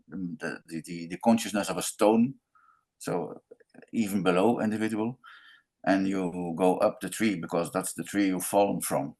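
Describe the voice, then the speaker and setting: Belgian man on a panel, deep voice, thinks aloud haltingly. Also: darkish tone. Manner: halting, repeats words while searching. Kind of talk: casual roundtable discussion.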